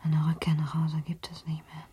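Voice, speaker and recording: An actress playing a shattered young wife in a period radio drama, speaking in a subdued, halting whisper. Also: female voice, sits low, completely flat delivery, dark tone, breathy edge. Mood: despairing, resigned. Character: shattered young wife quietly facing disgrace, 19th-century German drama. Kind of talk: radio play acting.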